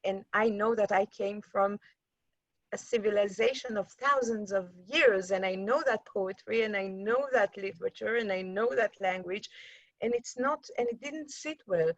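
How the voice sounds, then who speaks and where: Woman speaking haltingly on a dull, dry line. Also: medium pitch, no room sound. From Iran. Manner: halting, many restarts and fillers. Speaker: guest, journalist and activist. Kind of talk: reflective conversational interview.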